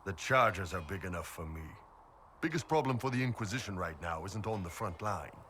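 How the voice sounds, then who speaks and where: A man's voice: low voice, expressive, fairly quick, bright, forceful tone, slight background noise. A man playing a huge horned mercenary captain in a video game, blunt, shrewd and easygoing, speaking colloquially. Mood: frank, musing. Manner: laid-back, blunt, colloquial.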